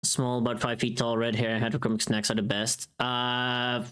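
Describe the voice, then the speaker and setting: Rambling, informal VTuber streamer, medium pitch. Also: level tone, moderate pace, a bit bright, clear, resonant tone. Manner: rambling, teasing, informal with mild swearing. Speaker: VTuber livestream host. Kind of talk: casual livestream chat with viewers.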